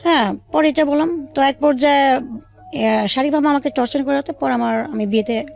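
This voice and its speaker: High-pitched Bangladeshi woman, slightly creaky voice. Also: fairly quick. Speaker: guest telling her own life story. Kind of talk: personal storytelling in a radio interview. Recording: faint music bed under the voice. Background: soft background music.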